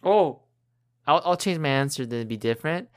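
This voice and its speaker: A woman's voice: low-pitched, animated, normal speed. A woman co-hosting a podcast, talking and making up story bits in a casual, conversational style. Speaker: podcast co-host and quiz contestant.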